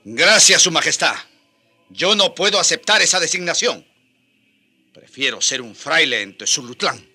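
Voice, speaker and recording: A man's voice: a little high, lively intonation, moderate pace, pushed and bright, husky, soft background music, heavily acted. A man playing an elderly, devout and stubborn friar bishop in a radio drama, with an emotional, pleading manner. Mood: humble pleading. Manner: emotional, hesitant repetitions, pleading.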